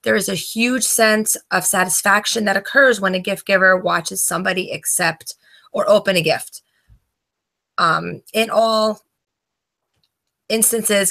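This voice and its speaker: A woman's voice: medium pitch, gravelly, bright, forceful tone. A woman from New Jersey, a student debater reading a prepared presentation from notes, stumbling and restarting words now and then. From New Jersey, USA.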